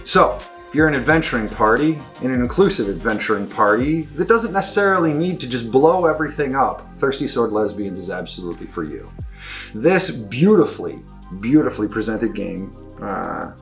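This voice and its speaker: A man with a mid-pitched voice presents an unscripted review of new games, with music playing behind him. He is effusive and emphatic, doubling up words as he stresses what he likes. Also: lively intonation, normal speed, creaky, a bit bright. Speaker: game shop reviewer presenting new releases. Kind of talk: enthusiastic product review, unscripted talk.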